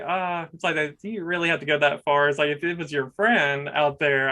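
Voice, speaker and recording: A young man hosting a casual podcast interview. He talks in a monotone and rambles, with many fillers. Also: fairly high pitch. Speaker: podcast host.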